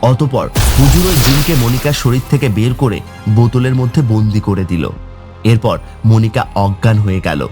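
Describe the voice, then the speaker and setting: Man, story narrator, medium pitch, scripted horror tale delivery, music underneath. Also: normal speed.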